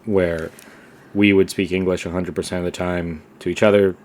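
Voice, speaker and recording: A young man co-hosting a podcast, talking casually in a relaxed, rambling way with lots of fillers and restarts. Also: sits low, normal speed. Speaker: podcast co-host. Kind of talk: casual conversation.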